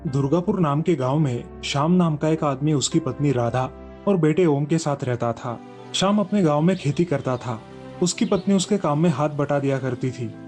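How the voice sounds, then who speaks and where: A man's voice: on the high side, moderate pace, darkish tone, faint music behind. A man narrating a scripted children's story as the storyteller.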